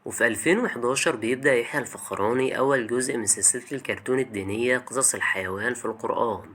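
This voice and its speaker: Fast-talking Egyptian man narrating. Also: mid-range voice, fairly bright tone. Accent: Egyptian Arabic. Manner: colloquial, chatty, addresses the listener directly. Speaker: video essay host. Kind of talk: scripted narration, conversational video essay.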